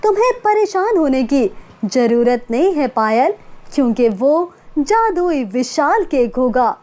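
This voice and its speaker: A gentle, kind fairy speaks in a soothing, very high storybook voice, as a woman puts her on. She reassures and promises help. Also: big pitch swings, a little slow. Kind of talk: storybook character voice.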